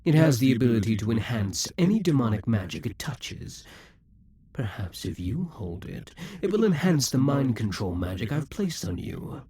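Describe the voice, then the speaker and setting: A man's voice: mid-range voice, big pitch swings, moderate pace, warm tone, slightly rough, dry recording. A man voicing a possessive, scheming male demon king in a theatrical monologue for an audio roleplay. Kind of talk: audio roleplay addressing the listener.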